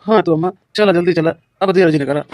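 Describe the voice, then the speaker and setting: A man's voice: sits high, fast talker, darkish tone, close to an everyday voice. A man playing a determined, hardworking farmer and elder brother in a village cartoon, reassuring and emphatic, with an Awadhi accent. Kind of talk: cartoon village comedy dialogue.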